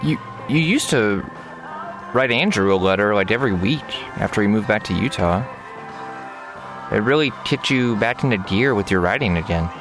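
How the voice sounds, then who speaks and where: Older brother played by a man, lowish voice, gently probing, tentative, music behind, audio drama. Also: animated, normal speed, rough recording. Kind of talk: naturalistic audio drama dialogue.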